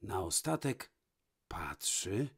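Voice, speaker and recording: A man tells a fairy tale in a fairly low, raspy voice. His intonation swings widely as he narrates. The delivery is dramatic, shifting between hushed and emphatic phrasing. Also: sing-song, takes it slowly. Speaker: storyteller. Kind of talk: expressive fairy-tale narration, read from a book.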